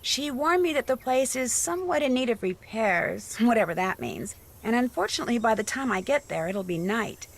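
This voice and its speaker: A woman's voice: a little high, lively intonation, normal speed, gravelly, hardly any pauses. A woman playing an earnest, eager young amateur detective in a conversational, friendly scripted game narration. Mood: eager, adventurous.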